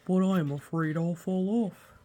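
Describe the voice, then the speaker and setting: A woman voicing an anxious, gloomy male walrus from a children's storybook, fretful and sighing in a lowered, heavy voice. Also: a little slow, dark tone. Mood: worried, sighing.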